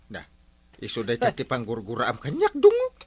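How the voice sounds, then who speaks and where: An actor with an Ilocano accent playing a hapless, forgetful, henpecked village captain in a radio comedy drama, whining and sputtering. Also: male voice, medium pitch, wildly swooping pitch, normal speed, darkish tone, breathy edge, no room sound, full character voice. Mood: whining, sheepish.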